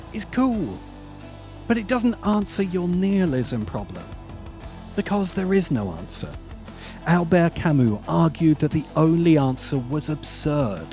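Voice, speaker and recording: A man delivers scripted essay narration in a mellow, relaxed tone. His pace is unhurried and deliberate, with emphatic phrasing that leaves dramatic pauses. Faint music plays behind his voice. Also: fairly high pitch. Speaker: video essayist and host.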